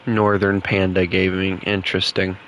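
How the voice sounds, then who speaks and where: Rambling young gamer, Southern US accent, lowish voice, bright forceful tone, light noise behind. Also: male voice, completely flat delivery, moderate pace, highs cut off. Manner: rambling, chatty, laughs often. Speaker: gaming streamer. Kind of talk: casual gaming chat.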